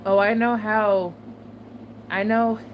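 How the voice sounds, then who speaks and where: A woman talks casually and loosely to her viewers. Her voice sits at an ordinary middle pitch and carries frequent cursing. A clear, steady noise runs behind her the whole time. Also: takes it slowly, lo-fi sound. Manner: chatty, swears often, laughs between remarks. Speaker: channel host.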